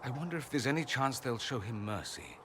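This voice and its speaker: An actor playing an urbane, sardonic foreign mage in a video game, with dry, theatrical wit. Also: male voice, mid-range voice, animated, fairly quick, fairly bright tone, a little hiss or hum, natural voice. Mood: somber, regretful. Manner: witty, dry, theatrical sarcasm. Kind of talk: video game dialogue acting.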